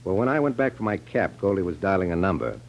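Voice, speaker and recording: A man acting a cool, shrewd private detective in a 1940s radio drama, speaking firmly and deliberately. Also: low-pitched, big pitch swings, a little hiss or hum. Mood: matter-of-fact. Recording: dull 1940s radio recording.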